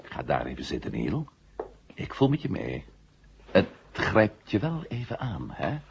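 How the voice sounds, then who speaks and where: An actor in a 1970 science-fiction radio drama playing a steady, commanding space hero who firmly and deliberately reassures a comrade. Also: male voice, deep voice, gravelly, dull audio, slight background noise, full character voice. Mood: reassuring.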